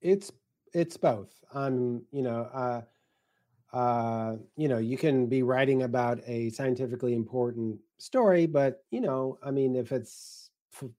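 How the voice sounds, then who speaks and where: A man talking casually on a panel as a writer and editor, hesitant and halting with many fillers. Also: medium pitch, warm tone.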